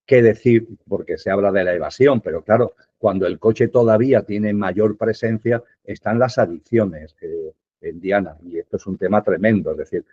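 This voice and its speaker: A man giving a calm spiritual talk, teaching through rhetorical questions. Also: fairly low pitch, normal speed, slightly rough, no echo. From Spain. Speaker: spiritual speaker. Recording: dull lo-fi sound.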